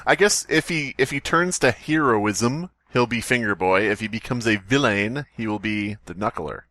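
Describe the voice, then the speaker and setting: A man's voice: on the low side, normal speed, bright, forceful tone, dry recording, studio quality. A man hosting a comedy podcast, bantering and reading a story aloud for laughs. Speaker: podcast host.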